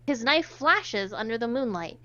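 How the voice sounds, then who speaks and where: A woman's voice: fairly high pitch, expressive, normal speed, fairly bright tone. A woman reading a visual novel aloud with dramatic delivery and sarcastic, irreverent asides, on a slightly dull recording. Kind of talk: dramatic read-aloud with casual joking asides.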